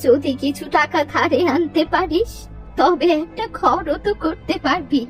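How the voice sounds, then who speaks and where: A high-pitched, kindly old village grandmother from a cartoon, acted by a woman. She talks in a homely, motherly, exclamatory way. The voice sits a little away from the mic with music behind it. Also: measured pace, recorded from a distance. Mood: caring, coaxing. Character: kind old village granny, sheltering and advising. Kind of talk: Bengali moral-story cartoon dub.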